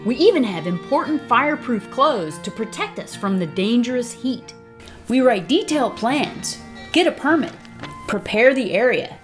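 Lively woman narrating for kids. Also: a little high. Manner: upbeat, explaining simply. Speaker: narrator, prescribed burner. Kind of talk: scripted narration for children. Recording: voice-over over music. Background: background music.

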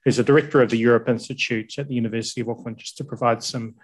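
Guy chairing a lecture, hesitant, lots of ums, mid pitched. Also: fairly even intonation, breathy edge. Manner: halting, many ums and hesitations. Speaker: event chair. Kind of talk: formal thank-you and introduction. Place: university lecture room with audience.

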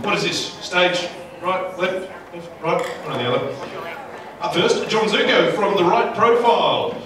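An Australian man, around his thirties, introducing people to a live audience as moderator in a jokey, informal way. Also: on the high side. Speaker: panel moderator. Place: indoor event room with large windows. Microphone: handheld microphone through a PA. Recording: very echoey room, distant, clear background noise.

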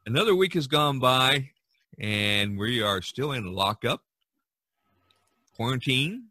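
A man hosting a casual podcast conversation, phrasing things deliberately with pauses. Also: mid-range voice, lively intonation, sharp tone, dry recording, studio quality. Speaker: podcast host.

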